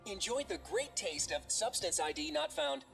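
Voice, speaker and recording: A woman voicing a female robot suit AI from a video game, speaking in formal, deadpan announcements with polite, cheerful menace. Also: medium pitch, normal speed, vocal fry, soft background music.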